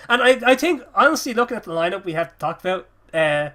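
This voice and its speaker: Young man, early twenties, podcast host, sniffly cold, bright tone, rambling, swears and laughs. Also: high voice, big pitch swings, fast. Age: early 20s. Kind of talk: casual podcast chat.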